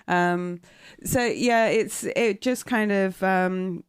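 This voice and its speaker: A British woman in her fifties, a guest on a podcast, telling her story in a chatty way with many fillers and a loud voice. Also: medium pitch, extremely slow, clean tone, dry recording. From United Kingdom. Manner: chatty, warm storytelling with frequent fillers and laughs. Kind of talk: casual podcast interview.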